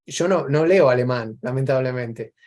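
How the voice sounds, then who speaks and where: Mellow Argentine man, chatting reflectively. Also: mid-range voice, moderate pace. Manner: discursive, explanatory, gives examples. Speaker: interview guest. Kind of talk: casual reflective conversation.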